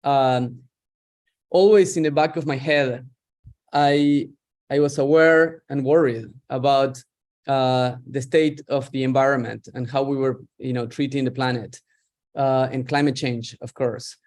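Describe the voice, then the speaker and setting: A man's voice: mid-range voice, steady tone, a little slow, a little mellow. Middle-aged man, reflective. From Argentina. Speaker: guest, startup founder. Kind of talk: interview on a video call.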